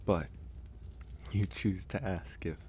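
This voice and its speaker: Man acting as young male werewolf recluse, deep voice, slow hesitant delivery, gentle, intimate ASMR roleplay, faint background noise. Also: fairly even intonation. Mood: bashful. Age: young adult. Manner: unhurried, hesitant, reassuring.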